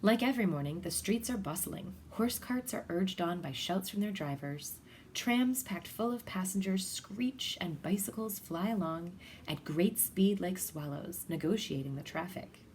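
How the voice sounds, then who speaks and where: A woman reading a children's picture book aloud in an expressive, deliberate storytelling manner. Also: fairly low pitch, a little hiss or hum. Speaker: storybook reader.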